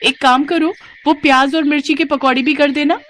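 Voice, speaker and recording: A woman's voice: high voice, fairly even intonation, moderate pace, fairly bright tone, a little hiss or hum, pitched above the performer's own voice. A woman voicing a carefree, defiant, food-obsessed young daughter-in-law in a cartoon moral story, with a whiny, self-indulgent manner. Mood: eager.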